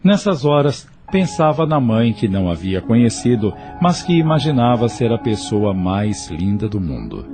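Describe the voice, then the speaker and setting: A man narrating an audio drama in a measured storytelling manner. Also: mid-range voice, sing-song, a little mellow, faint music behind.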